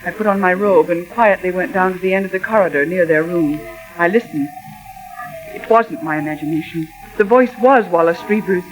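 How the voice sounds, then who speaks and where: An actress playing an anxious, loyal secretary in 1940s radio drama, with a breathless, pleading, melodramatic delivery. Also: female voice, on the low side, a little fast, lightly acted, low-quality recording. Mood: haunted, fearful narrating. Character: young woman secretary, anxious, loyal, fearful of murder. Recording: thin vintage 1940s radio recording, organ music.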